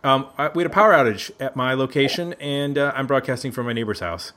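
Male podcast host, mid-pitched, chatty, jokey, self-correcting, casual round-table talk. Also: quick pace, pushed and bright. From Buffalo, United States. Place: indoors at a neighbor's house.

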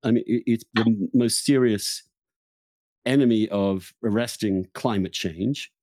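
A man with a fairly low, soft-edged voice hosts a political conversation. He argues earnestly and picks his way through each point with care. The sound is dry and close, with little room around it. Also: a little slow, no room sound. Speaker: podcast host.

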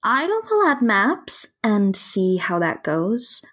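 A young woman, quietly earnest and reserved, in a romantic comedy audio play. She speaks slowly and with understatement, hesitating a little, at a medium female pitch. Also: very animated, exaggerated voice. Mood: reserved, honest. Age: young adult. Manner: hesitant, understated, brief replies.

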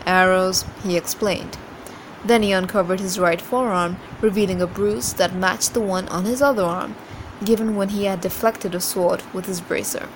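Woman reading aloud over clear background noise. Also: mid-range voice, fairly even intonation, moderate pace, poor audio. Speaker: reader. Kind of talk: expressive book reading after a casual introduction.

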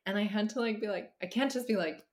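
A woman's voice: mid-range voice, fast talker. A woman therapist, a podcast guest, talking casually with many fillers, restarts and easy laughs. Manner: laughs easily. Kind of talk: casual conversation.

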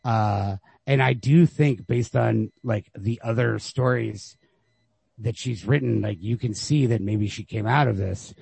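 A man's voice: on the low side, measured pace, creaky, a little mellow, breathy edge, no room sound. A man co-hosting a podcast, casually discussing literature and thinking aloud in circling, hedged phrases. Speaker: podcast co-host.

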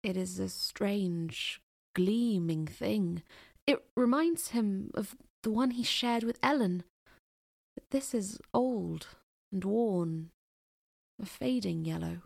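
A woman narrating an audio play in a deliberate, poetic storytelling manner. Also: mid-range voice, creaky, a little mellow.

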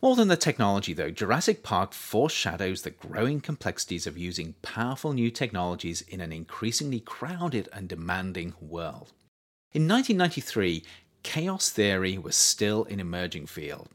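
A man reading his own nonfiction book aloud as an audiobook, in a measured, expressive way. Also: deep voice. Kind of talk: audiobook narration.

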